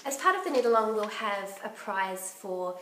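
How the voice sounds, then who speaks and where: A woman hosting a knitting podcast in casual chat, her voice croaky from bronchitis, chatty and enthusiastic. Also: mid-range voice, very animated, moderate pace, a bit bright, roomy sound, slight background noise. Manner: chatty and enthusiastic, laughs often.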